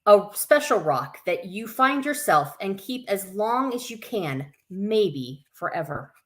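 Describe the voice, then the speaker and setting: A woman's voice: mid-range voice, sing-song, takes it slowly. A woman hosting a live story time for children, reading aloud with informal, chatty asides. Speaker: camp staff story time host.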